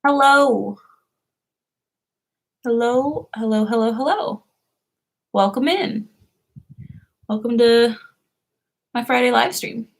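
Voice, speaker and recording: A woman's voice: on the high side, takes it slowly, long pauses. A young woman hosting a casual live stream, who chats, laughs often and answers her viewers' comments.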